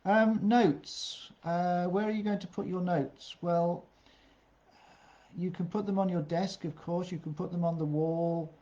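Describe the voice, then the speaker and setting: A man's voice: high voice, steady tone, a little slow, mellow, dull audio. A man giving career advice in a webinar, plain-spoken and full of "uh" fillers. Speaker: career coach.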